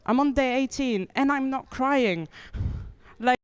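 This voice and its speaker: A woman's voice: high-pitched, measured pace, clear, resonant tone, no room sound. A young woman from France performing stand-up comedy with a wry, chatty delivery that keeps checking in with the audience. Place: comedy club stage.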